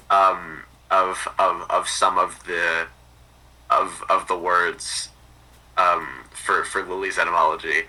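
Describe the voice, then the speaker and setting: A man chatting casually as a podcast guest, halting and repeating words as he explains things. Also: low-pitched, sharp tone, low-quality recording. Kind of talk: casual chat.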